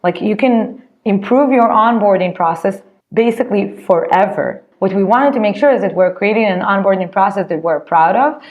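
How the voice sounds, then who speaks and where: A woman's voice: mid-range voice, moderate pace, hardly any pauses, lo-fi sound. A woman from Israel with an Israeli accent, a startup co-founder giving casual interview answers, full of "like" fillers and restarts. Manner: chatty, many "like" fillers and restarts.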